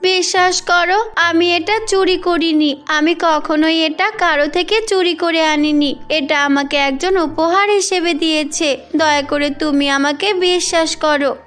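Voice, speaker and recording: A woman plays a gentle orphan girl in a cartoon fable. The voice is very high and unhurried, speaking politely and earnestly, with faint music underneath. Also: fairly even intonation. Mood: pleading. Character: meek, polite orphan girl, grateful and forgiving.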